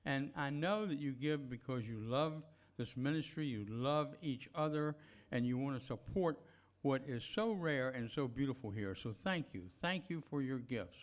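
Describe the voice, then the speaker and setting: A man's voice: medium pitch, moderate pace. A man leading a church service, speaking informally to the congregation about giving. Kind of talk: informal offering talk to a congregation.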